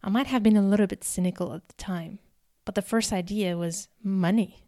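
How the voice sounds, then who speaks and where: Woman, 30s, from Algeria, delivering a personal talk; earnest and deliberate, warm timbre, dry recording. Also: mid-range voice, fairly even intonation, no echo. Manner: earnest, deliberate, with emphatic repetitions. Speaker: speaker giving a talk. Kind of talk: prepared personal storytelling speech.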